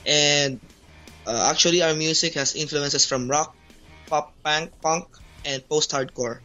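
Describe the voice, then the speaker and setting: A man's voice: fairly high pitch, unhurried, pushed and bright. A man from the Philippines with a Filipino accent, a native Tagalog speaker, answering interview questions hesitantly. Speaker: band bassist, interview guest. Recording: dull lo-fi call audio, music behind. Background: music.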